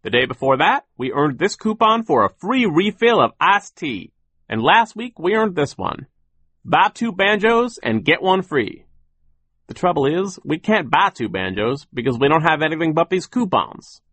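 Man playing an upbeat, higher-pitched mill worker. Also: big pitch swings, moderate pace, a bit bright, dry recording. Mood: gently resigned. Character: sunny optimistic adult male mill worker.

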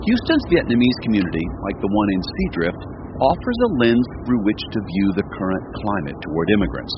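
A man reporting for radio news, telling the story in a measured, scripted narration. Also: dull audio, background noise. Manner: measured storytelling delivery with lively pitch.

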